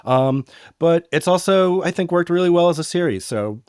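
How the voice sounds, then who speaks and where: A man's voice: fairly high pitch, animated, normal speed, a little mellow, clean tone, no room sound, studio quality. A man casually introducing an episode as a podcast host, talking off the cuff. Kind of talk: casual scripted-free intro chat.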